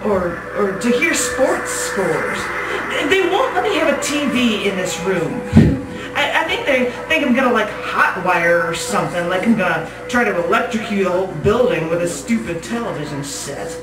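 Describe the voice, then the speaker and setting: A woman playing a paranoid, defensive inmate acting crazy, with a Southern US accent and a rambling, halting, repetitive way of talking in a live monologue. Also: mid-range voice, big pitch swings, natural voice. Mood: wistful, rambling, then aggrieved. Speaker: performer reading a monologue. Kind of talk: live dramatic monologue reading. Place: small gallery cabaret stage. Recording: roomy distant live recording with music. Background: music.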